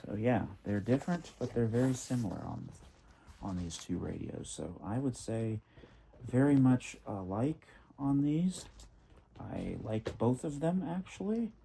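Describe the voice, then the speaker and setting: A man giving a casual spoken product comparison, thinking aloud in a halting, methodical way. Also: mid-range voice, sing-song, vocal fry, dark tone, a little hiss or hum. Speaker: product reviewer.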